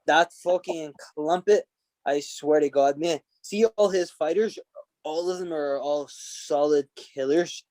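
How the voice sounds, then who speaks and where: A man's voice: on the high side, a little mellow, no echo. A man with an Irish accent, a podcast guest talking bluntly and profanely in a casual interview. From Ireland. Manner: blunt, profane and fiery, quick to laugh.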